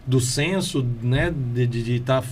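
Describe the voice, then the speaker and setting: A man's voice: mid-range voice, slow, a little mellow, a little hiss or hum. Earnest Brazilian male interviewer. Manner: earnest, agreeable, frequent short affirmations. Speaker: interview host and artist. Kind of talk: conversational interview.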